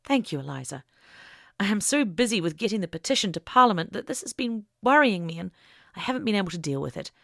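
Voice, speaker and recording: A woman narrator voicing an earnest, wry older suffragist with formal, measured phrasing in an audiobook. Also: mid-range voice, very animated, vocal fry, pushed and bright, no room sound, studio quality, full character voice. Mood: grateful, cautioning. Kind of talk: audiobook character dialogue.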